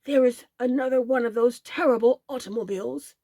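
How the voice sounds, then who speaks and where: A woman voicing a kindly old gentleman rabbit who speaks in a gentle, polite, measured way in a storybook reading. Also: on the high side, dry recording, clean studio sound, voice pushed up.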